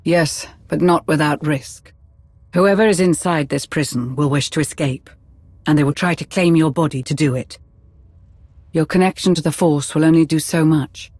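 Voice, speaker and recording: A woman playing a composed Sith adviser in a video game, explaining things in a measured, formal way. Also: deep voice, darkish tone, breathy edge, dry recording. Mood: cautious, solemn. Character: composed, knowledgeable Sith adviser, cautious and protective. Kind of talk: video game cutscene dialogue.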